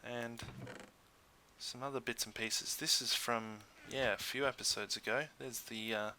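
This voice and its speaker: Man, mid-pitched, bright forward tone, casual gameplay host, hesitant thinking-aloud delivery, noticeable background noise. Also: steady tone, measured pace. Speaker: gaming video host. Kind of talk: casual game commentary.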